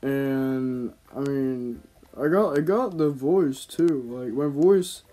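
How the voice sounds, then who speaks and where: A man's voice: medium pitch, slow, warm tone, soft background music, rough recording. A man giving casual, rambling gameplay commentary in slangy, informal speech, as a gaming YouTuber. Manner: slangy, informal, talking to himself and viewers.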